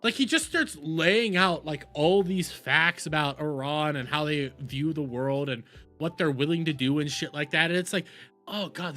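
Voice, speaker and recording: A man's voice: fairly high pitch, pushed and bright. A man giving casual, rambling livestream commentary and reactions in a blunt, emphatic, profane way.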